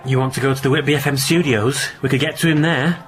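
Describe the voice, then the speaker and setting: A man acting a mild, confiding hotel worker in a radio drama, gently wry about the guests. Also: medium pitch, steady tone, fast, over music, lightly acted. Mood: puzzled. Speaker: hotel worker, friend of the lead.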